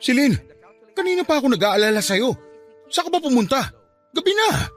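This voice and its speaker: A devoted, protective admirer in a Filipino radio drama. His raised voice swings widely in pitch, earnest and emotional, over a music bed. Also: sing-song, brisk. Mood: worried. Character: devoted, protective male friend Ramon, secretly in love.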